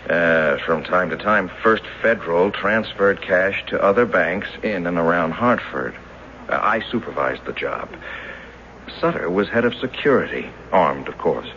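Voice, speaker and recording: An actor in a radio drama playing a mild, earnest schoolteacher who speaks in a halting, hesitant way. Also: male voice, sits low, expressive, sharp tone, exaggerated voice. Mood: earnest, recalling. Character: earnest mild schoolteacher, former bank teller, recalling a robbery. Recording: dull hissy old radio recording.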